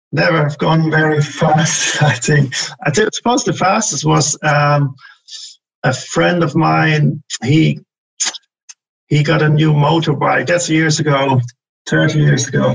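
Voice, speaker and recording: A man, middle-aged or older, from northern Germany, chatting in an interview in a husky, creaky voice, often pausing to search for words. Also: fairly high pitch, a bit bright, highs cut off. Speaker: podcast guest, business development manager. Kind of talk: casual interview chat.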